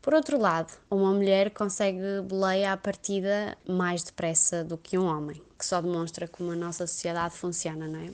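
Low, even-toned Portuguese woman reflecting. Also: normal speed, few pauses. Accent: European Portuguese. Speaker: guest sharing travel experience. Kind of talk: recorded personal testimony.

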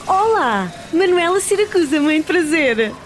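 An actress playing a gushing, excitable society woman in a radio comedy soap, affected and cooing, with a European Portuguese accent. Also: extremely high pitch, measured pace, heavily acted. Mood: gushing, sociable. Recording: very noisy recording.

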